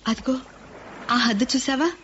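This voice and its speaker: An actress playing Chandra, a cautious woman guarding her family land, who speaks calmly and skeptically. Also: high voice, moderate pace. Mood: wary. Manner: calm, skeptical. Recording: dull lo-fi radio recording with faint hiss.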